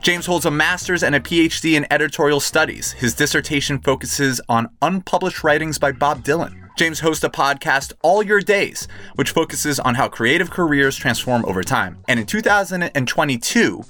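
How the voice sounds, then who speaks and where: A man's voice: fairly high pitch, lively intonation, moderate pace, bright, forceful tone, faint music behind. A man hosting an interview podcast, speaking in a polite, appreciative way as he introduces and questions his guest. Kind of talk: scripted introduction, then conversational interviewing.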